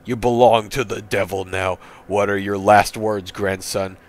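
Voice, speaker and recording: A man voicing a sinister old grandfather in a horror story, speaking with quiet menace. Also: on the low side, level tone, measured pace. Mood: cold, threatening.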